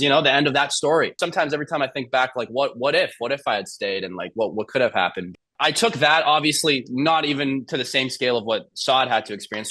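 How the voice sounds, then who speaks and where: Young male actor guest, relaxed rambling delivery, average pitch, close and dry. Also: no echo. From Iran. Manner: rambling, many fillers and restarts. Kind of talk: casual interview storytelling.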